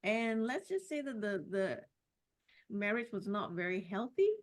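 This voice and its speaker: A middle-aged woman from Singapore, a podcast guest telling her life story in a casual, reflective way with many pauses and restarts. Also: medium pitch, expressive. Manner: warm, reflective, with frequent "you know" and restarts. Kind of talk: personal story in a casual interview.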